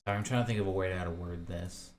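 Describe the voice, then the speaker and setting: A young man hosting a solo podcast, rambling in a casual, hesitant way and thinking aloud with many pauses and restarts. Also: low voice, steady tone.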